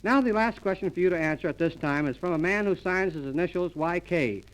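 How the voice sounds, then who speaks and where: A man's voice: sits high, a little fast, poor audio. A man hosting a 1930s radio comedy with mock-formal announcer patter. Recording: old 1930s transcription disc, music.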